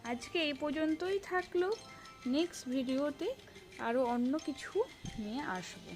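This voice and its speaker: A woman's voice: high voice, lively intonation, a little slow, soft background music. A woman presenting and reciting nursery rhymes in a sing-song way, speaking directly to small children. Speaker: host of a children's rhyme channel.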